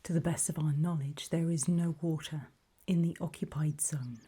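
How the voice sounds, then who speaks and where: A woman playing a calm, faintly sinister guide in a creepy ASMR roleplay, whispering slowly and deliberately with a breathy voice. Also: deep voice. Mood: calmly instructive. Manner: slow, deliberate, coolly instructive, softly ominous. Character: calm, faintly sinister female guide briefing people on alien dangers.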